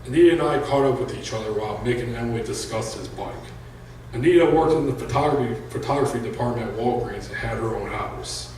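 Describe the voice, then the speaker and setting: A man with a deep voice, an author reading his own prose fiction aloud at a live event, with casual asides to the listeners. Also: a little mellow, a little hiss or hum. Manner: plain unhurried reading with joking asides to the audience. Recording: echoey room from far off.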